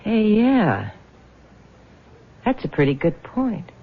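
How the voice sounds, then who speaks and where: Husky, hard-bitten small-town schemer. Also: female voice, mid-range voice, huge pitch swings, takes it slowly, heavily acted. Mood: scheming. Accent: American. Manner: brusque, slangy, wry. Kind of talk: 1970s radio drama acting. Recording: lo-fi muffled vintage radio recording.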